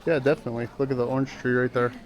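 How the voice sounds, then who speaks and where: A man's voice: mid-range voice, fairly even intonation, rapid-fire, rough recording. Laid-back man vlogging casually. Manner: laid-back, unscripted, plain-spoken. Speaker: travel vlogger. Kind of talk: casual vlog narration and chat. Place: outdoors in old town streets.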